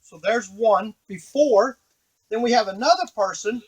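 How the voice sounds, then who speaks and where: High-pitched man giving a hands-on demo. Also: a little slow, slightly rough, rough recording. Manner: explanatory, step-by-step, addressing the viewer. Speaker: device demonstrator. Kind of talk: casual unscripted hands-on product tutorial.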